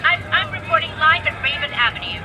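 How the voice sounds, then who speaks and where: A woman playing an urgent field news reporter in an audio drama, speaking in a hurried, alarmed way. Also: high-pitched, animated. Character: urgent female news reporter live on the scene. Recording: noisy street, crowd, distant mic.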